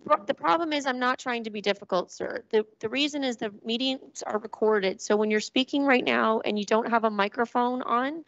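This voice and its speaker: A woman's voice: on the high side, fairly quick, few pauses, fairly bright tone, no echo. A woman chairing a council meeting, firm and procedural as she manages speakers. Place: council chamber. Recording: dry, dull council chamber recording.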